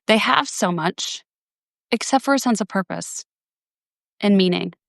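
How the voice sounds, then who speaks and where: A woman's voice: mid-range voice, animated, measured pace, creaky, a bit bright, no room sound, studio quality. A woman in her forties, hosting a casual live video conversation, reflective and thinking aloud with many restarts. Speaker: host. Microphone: video call.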